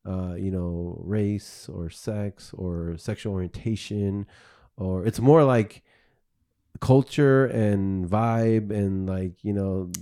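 Male podcast host, low mellow voice, rambling casual talk. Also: steady tone, takes it slowly, smooth. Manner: rambling, thinks aloud with restarts.

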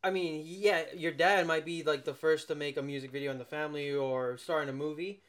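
A man's voice: on the high side, fairly even intonation, brisk, fairly bright tone. Easygoing podcast host man. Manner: easygoing, lots of affirming yeahs. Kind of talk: casual chat.

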